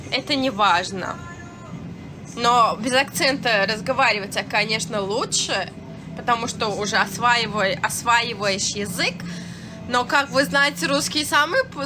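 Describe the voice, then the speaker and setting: Young Turkmen woman chatting on a live stream. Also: on the high side. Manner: answers viewers' comments, chatty and frank, many fillers and restarts. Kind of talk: casual live-stream chat.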